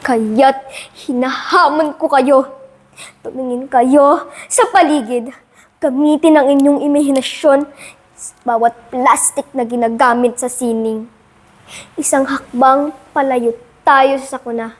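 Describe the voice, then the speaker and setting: High-voiced Filipino schoolgirl declaiming. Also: steady tone. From Philippines. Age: child, about 10 to 11 (fifth grade pupil). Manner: dramatic, emphatic, deliberate oratory with rising emphasis. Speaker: student monologue performer. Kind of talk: rehearsed declamatory monologue.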